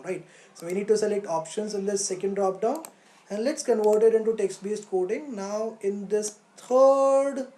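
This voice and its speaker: A woman gives an online coding lesson in a soft-edged, mellow voice. She explains step by step, often asking a question and then answering it. The recording has a noticeable room echo. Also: medium pitch. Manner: instructional, poses questions then answers them, checks with "right?". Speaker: coding teacher.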